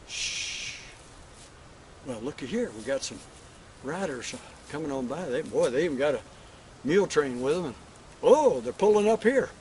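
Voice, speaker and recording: A man's voice: mid-range voice, noisy background, close to an everyday voice. A man playing a flustered frontier cowboy who has just become a father. He speaks with a homespun, folksy drawl. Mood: hushed, then hearty. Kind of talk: one-man stage monologue.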